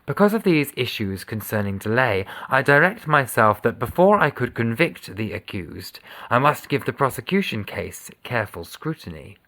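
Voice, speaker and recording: A man giving a sober, measured, formal reading of a scripted legal document. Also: medium pitch, sing-song, a bit bright. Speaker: voice reader of a court judgment.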